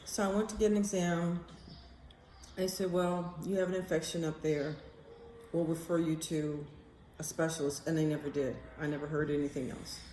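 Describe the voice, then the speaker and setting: American woman, lowish pitch, casual rambling storyteller, blunt, thinking aloud, roomy echoing sound. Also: steady tone, normal speed, mellow. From United States. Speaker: vlogger. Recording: roomy echo, faint background noise.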